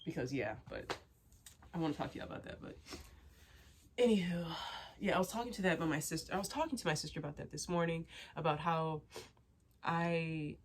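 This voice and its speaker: A woman's voice: deep voice, a little fast, pauses often, a little hiss or hum. A young woman chatting candidly to her viewers, stuffy and sniffling from a cold, rambling with lots of fillers and restarts. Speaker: vlogger. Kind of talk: casual chat to camera.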